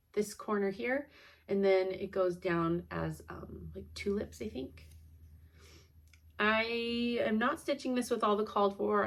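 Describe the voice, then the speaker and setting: A woman's voice: medium pitch, gravelly. A stuffed-up woman in casual, rambling chat about her stitching projects, chatty and apologetic. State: congested, stuffed up. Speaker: cross-stitch vlogger.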